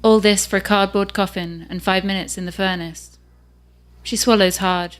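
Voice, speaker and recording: A woman's voice: mid-range voice, steady tone. Woman reading fiction aloud. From England. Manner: measured and unhurried. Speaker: author reading her own work.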